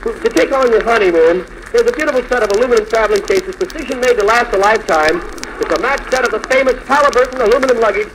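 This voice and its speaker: Noisy 1940s radio, fast high-voiced male host. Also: fairly bright tone. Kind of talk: 1940s radio hosting, announcing gifts.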